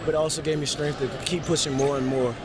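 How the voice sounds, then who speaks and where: A man's voice: a little high, level tone, fast talker, heavy background noise. A man answering in a spontaneous post-event sports interview. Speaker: contest winner, interviewee.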